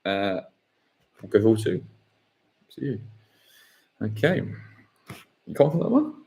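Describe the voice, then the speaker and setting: A man hosting a live football quiz, reading questions slowly and repeating them. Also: on the low side, wildly swooping pitch, creaky, dark tone. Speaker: quiz host.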